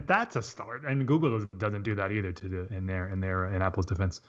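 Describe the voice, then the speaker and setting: Halting man with a dull recorded sound. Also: fairly low pitch, steady tone. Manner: halting, repeats words mid-sentence. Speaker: podcast panelist. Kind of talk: casual tech podcast discussion.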